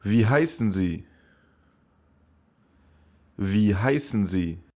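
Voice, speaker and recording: Man, language teacher, slow repeated drill, very unhurried, lowish voice. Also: animated, warm tone. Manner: says each phrase three times, slow and clear.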